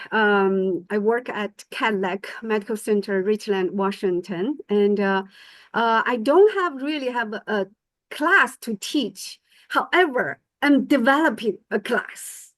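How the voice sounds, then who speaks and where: A woman's voice: medium pitch, measured pace, no echo. A middle-aged woman whose first language is Chinese, giving a conference presentation as medical faculty, hesitant with many fillers and restarts. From China.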